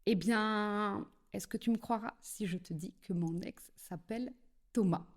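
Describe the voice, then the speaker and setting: A woman's voice: mid-range voice, a little slow. A Belgian woman from French-speaking southern Belgium talking straight to the viewer in a casual explanatory tone, leaning often on little lead-ins like "eh bien" and "alors". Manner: chatty, addresses the viewer directly, many "eh bien" and "alors". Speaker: psychogenealogy video creator. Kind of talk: casual explanatory talk to camera.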